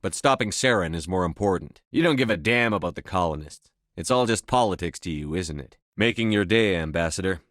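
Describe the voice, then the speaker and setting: A man's voice: low voice, expressive, brisk, a bit bright, no echo, clean studio sound. A man playing a resolute, blunt military commander in a science fiction video game, speaking firmly and tersely with authority. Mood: indignant. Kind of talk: scripted game dialogue.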